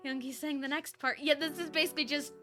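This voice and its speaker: A woman livestreamer chatting and reading aloud casually, expressive and exclamatory, often interrupting herself. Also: sits high, fast talker, soft background music. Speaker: streamer reading fanfiction aloud.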